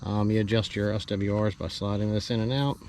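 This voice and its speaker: A man giving a casual, off-the-cuff unboxing commentary, halting and thinking aloud as he handles parts with a camera in hand. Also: fairly low pitch, level tone. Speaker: hobbyist vlogger doing a product unboxing. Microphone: handheld camera held while working, varying distance. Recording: noisy handheld camera audio, clear background noise.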